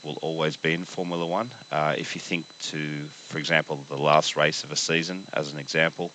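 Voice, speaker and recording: This is a man with a very deep voice, made croaky by a flu, hosting his own podcast. His tone stays bright and forceful, with a firm edge. He delivers an earnest, opinionated rant straight to camera, often stopping to restart his thoughts. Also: slight background noise, rough recording. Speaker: podcast host.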